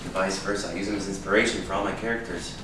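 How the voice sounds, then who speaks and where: An actor in a staged reading playing an intense, needy, proud writer. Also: male voice, deep voice, steady tone, very fast, lightly acted. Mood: earnest, proud. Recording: echoey room from far off, noisy.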